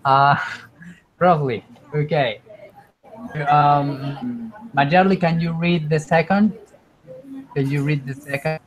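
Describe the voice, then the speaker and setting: Man, online English teacher, slow, patient, repeats himself, laptop mic. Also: fairly high pitch, background noise. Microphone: computer mic on a video call.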